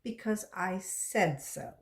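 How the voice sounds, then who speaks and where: A woman reading a children's storybook plays a firm, no-nonsense woman schoolteacher, brisk and insistent. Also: medium pitch, lively intonation, creaky.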